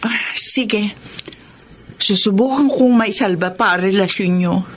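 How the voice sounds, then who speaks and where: An actress playing a cautious, sympathetic older sister, measured and reluctant, in a radio drama. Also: female voice, mid-range voice, lightly acted. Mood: reluctant sympathy. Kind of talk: Tagalog radio drama acting.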